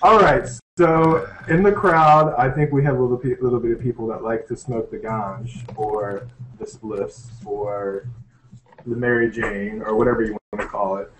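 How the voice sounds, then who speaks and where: A man's voice: medium pitch, steady tone, normal speed. A man hosting a casual podcast, joking and laughing as he runs through the day's items. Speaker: podcast host. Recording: echoey room with faint noise.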